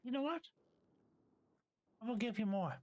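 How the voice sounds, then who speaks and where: A woman's voice: fairly high pitch, expressive, normal speed, darkish tone, close to an everyday voice. A woman playing a laid-back tavern patron in improvised game roleplay, casual and a little hesitant, sometimes blunt. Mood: polite, relaxed.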